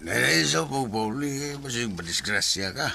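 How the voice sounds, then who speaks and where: A man's voice: medium pitch, expressive, measured pace, vocal fry, bright, forceful tone, slight background noise, exaggerated voice. A man playing a casual, protective older brother in a Bisaya radio drama. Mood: tipsy, hospitable. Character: protective casual older brother, young adult drinking buddy.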